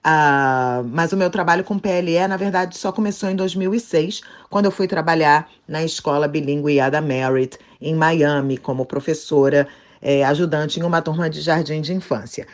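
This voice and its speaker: A middle-aged Brazilian woman, a Portuguese teacher, giving a steady, orderly interview answer in a Brazilian accent. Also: low-pitched. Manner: measured and orderly, lists items one by one.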